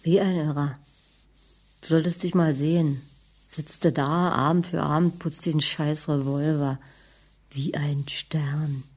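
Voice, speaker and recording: A middle-aged woman playing a bitter, scornful, nagging wife in a radio drama, with a raspy voice. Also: sits low, fairly even intonation, slow pace, a little mellow, heavily acted.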